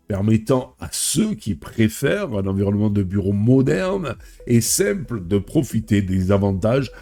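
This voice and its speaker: A man's voice: lowish voice, warm tone, breathy edge. A man with a head cold hosting a tech video, reading a news script and adding chatty personal asides. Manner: reads then adds chatty personal remarks. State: stuffy with a cold.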